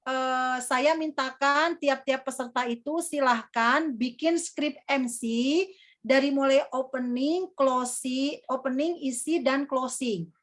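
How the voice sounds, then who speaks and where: Young woman, high-pitched, easygoing trainer with a rambling, filler-heavy delivery. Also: takes it slowly, fairly bright tone. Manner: chatty, calls herself Kakak, many fillers. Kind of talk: casual workshop teaching.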